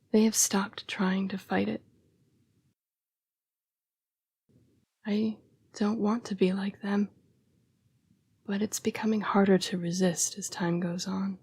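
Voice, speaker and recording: A young female vampire, acted by a woman, speaks in a soft, breathy half-whisper with little rise or fall. She is gentle, earnest and apologetic, halting as she fights her bloodlust. The delivery is an intimate, dramatic audio roleplay monologue. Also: fairly low pitch. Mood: anguished, fearful.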